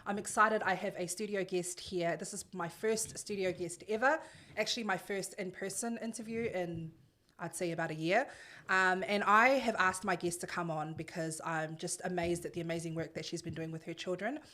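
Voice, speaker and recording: A woman's voice: on the low side, fairly even intonation, moderate pace, sharp tone. Chatty, laughing woman podcast host. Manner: chatty and enthusiastic, laughs often. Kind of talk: casual podcast interview. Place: studio.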